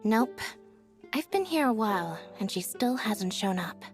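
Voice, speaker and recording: A woman playing a reserved, laconic young assistant in a video game, with a deadpan delivery. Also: mid-range voice, measured pace. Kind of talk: video game character acting. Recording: music behind the voice.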